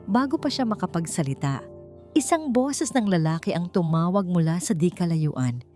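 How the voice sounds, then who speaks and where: A Filipino woman narrates an audiobook story in a fairly low voice. She reads from a script, with music playing softly behind her. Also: lively intonation, moderate pace. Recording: music bed under the voice. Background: background music.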